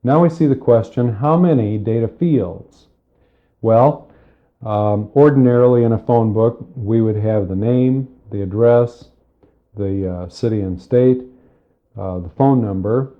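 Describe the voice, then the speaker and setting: A man giving a methodical, step-by-step spoken computer lesson, explaining with pauses, recorded on cassette tape. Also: medium pitch, phone-quality sound. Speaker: software tutorial instructor.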